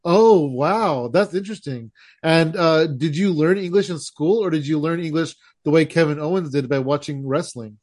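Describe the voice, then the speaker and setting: An American man hosting a casual interview, easygoing and quick to agree. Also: a little high, darkish tone, dry recording, clean studio sound. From United States. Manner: easygoing, says "hell yeah", laughs along. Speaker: host.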